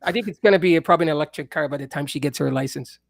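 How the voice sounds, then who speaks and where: A man with a high speaking voice in a casual, playful, teasing chat as he hosts another musician. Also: rapid-fire, gravelly, no room sound, clean studio sound.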